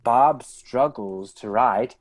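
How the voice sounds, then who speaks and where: A man playing an author who narrates his own story in a measured storyteller's delivery. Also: medium pitch, no echo. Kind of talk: scripted narration in a radio play.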